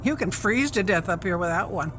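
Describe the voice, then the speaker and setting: A woman playing a calm, practical, self-reliant middle-aged mother in an audio drama. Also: lowish voice, fairly quick, noisy background, close to an everyday voice. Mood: practical, reassuring.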